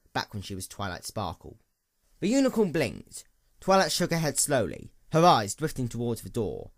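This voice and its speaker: A man's voice: medium pitch, no echo, clean studio sound. A man reading a dramatic scripted story as its narrator. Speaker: narrator, fan fiction reader.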